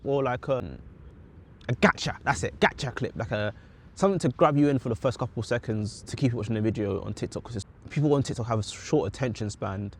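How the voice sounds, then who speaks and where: A young man with a British accent reflecting on his goals in a casual, rambling vlog, often correcting himself mid-sentence. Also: mid-range voice. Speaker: vlogger. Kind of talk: casual talking-head vlog.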